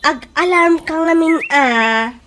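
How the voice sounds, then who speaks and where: Woman acting a very high-pitched, nagging female workmate. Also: takes it slowly, slight background noise, exaggerated voice. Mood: chiding concern. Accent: Ilocano Filipino. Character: chatty, nagging female workmate giving advice. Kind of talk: Ilocano comedy radio drama acting. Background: soft background music.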